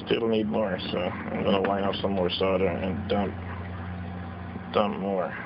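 Man, repair technician, slow unhurried talk, low gravelly voice, lo-fi noisy bench recording. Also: flat delivery. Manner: slow, plain step-by-step explaining with pauses. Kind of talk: hands-on repair demonstration. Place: workbench, indoors. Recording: dull lo-fi camera audio with noise.

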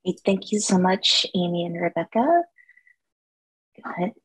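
Woman, moderator, brisk, slightly husky voice. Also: fairly low pitch, lively intonation, rough recording. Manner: brisk, introduces speakers. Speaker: session moderator. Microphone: video call.

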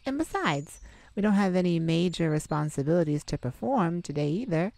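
A woman voicing a sweet, caring boyfriend in an intimate ASMR roleplay, tender, gently teasing and coaxing. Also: lowish voice, animated, fairly quick, dry recording. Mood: affectionate, teasing. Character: sweet, caring young boyfriend comforting his partner.

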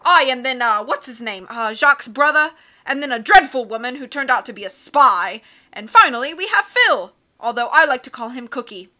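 A woman voicing a loud, hasty male submarine captain who cries out his lines and rambles, reading a storybook aloud. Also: high voice, animated, moderate pace, full character voice. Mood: hearty bluster. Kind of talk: read-aloud storybook acting.

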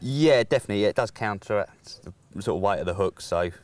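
Low-ish guy by a lake, lapel mic, lots of ums, explaining calmly. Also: quick pace. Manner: hesitant, many "um"s, explains plainly. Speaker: angler, guest. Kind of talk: casual outdoor interview. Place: lakeside outdoors, seated.

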